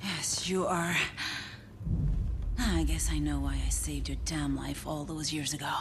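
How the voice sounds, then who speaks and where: A grim middle-aged female commander in a game cutscene, with a low voice. She is stern and embittered, often sinking to an intense hush, over clearly audible background noise. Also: fairly even intonation, measured pace. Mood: sombre. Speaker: military commander. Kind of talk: cinematic video game acting.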